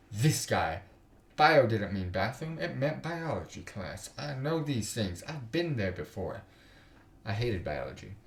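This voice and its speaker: A man's voice: mid-range voice, reverberant. A young man live streaming a game, reading story text aloud with some stumbles and slangy, joking asides. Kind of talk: casual livestream, reading visual novel text aloud with side comments. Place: indoors at a computer.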